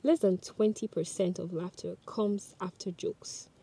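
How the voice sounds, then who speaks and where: A woman's voice: mid-range voice, measured pace, gravelly, warm tone, slightly rough. A woman whose first language is Yoruba, giving an earnest scripted motivational talk on a podcast and speaking straight to the listener. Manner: earnest and chatty, addresses the listener directly.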